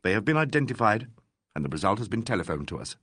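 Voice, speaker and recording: A man reading an audiobook voices a calm, weary police inspector who questions a suspect firmly and dryly. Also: lowish voice, breathy edge, no room sound, full character voice. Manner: measured, dry, firm.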